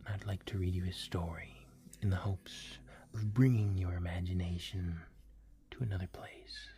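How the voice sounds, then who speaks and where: A man with a deep, mellow voice whispers softly through a livestream. His tone is dark and relaxed, and he speaks in a gentle, comforting ASMR way. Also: moderate pace. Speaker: livestream host.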